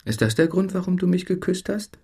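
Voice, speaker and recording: An actor playing a reserved radio host in his thirties, hesitant and understated, with a husky voice, in a naturalistic radio drama. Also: sits high, animated, a little fast, a little mellow. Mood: awkward.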